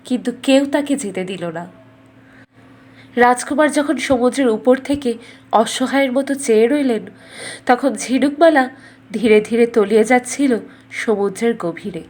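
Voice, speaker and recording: A woman storyteller narrating a scripted fairy tale in a measured, dramatic way. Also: fairly high pitch, darkish tone.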